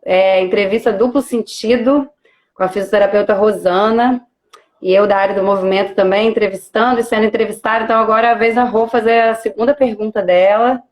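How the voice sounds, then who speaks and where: Uma educadora física que apresenta uma live e fala de forma descontraída e falante. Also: female voice, mid-range voice, a little fast. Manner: relaxed, chatty, many fillers. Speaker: physical educator and host. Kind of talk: casual live-stream interview.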